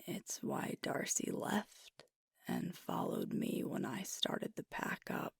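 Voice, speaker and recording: ASMR roleplay: actress as female alpha werewolf leader, troubled and conscientious, very low hushed voice, slow and deliberate. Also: wildly swooping pitch, no echo, studio quality, heavily acted. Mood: troubled, frustrated. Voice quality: breathy, whispery. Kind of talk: ASMR roleplay monologue, whispered and intimate. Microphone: close microphone.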